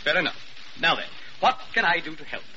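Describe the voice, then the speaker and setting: A pompous, theatrical senior doctor in an old radio play. His high voice is bright and sharp, sweeping grandly up and down. The recording is muffled and hissy. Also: animated, fairly quick. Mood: haughty. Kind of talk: vintage radio drama acting.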